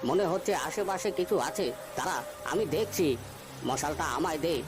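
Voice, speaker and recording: A gruff old fisherman from a cartoon ghost tale, acted by a man, with a fairly high voice over prominent music. He bosses and scolds his younger companions like a village storyteller who believes every superstition. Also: lively intonation, moderate pace. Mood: grave storytelling. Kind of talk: Bengali animated ghost story voice acting.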